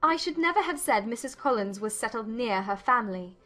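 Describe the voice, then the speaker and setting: An actress playing a spirited, self-assured young gentlewoman in a dramatized audiobook, speaking formally and firmly. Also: on the high side, expressive, a little fast, faint music behind. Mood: earnest, firm. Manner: formal, articulate, firm.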